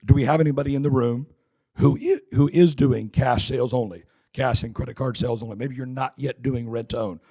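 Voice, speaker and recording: Raspy man, middle-aged, hoarse, folksy conference speaker, handheld mic. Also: medium pitch, fairly quick, dark tone. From North Carolina. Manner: folksy, joking, chatty. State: hoarse with laryngitis. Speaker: seminar speaker. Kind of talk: conference seminar talk. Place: conference hall.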